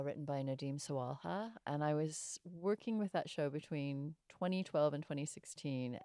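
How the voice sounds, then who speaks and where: Low, smooth-voiced woman chatting reflectively. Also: darkish tone, no room sound, clean studio sound. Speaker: actor being interviewed. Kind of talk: casual interview chat.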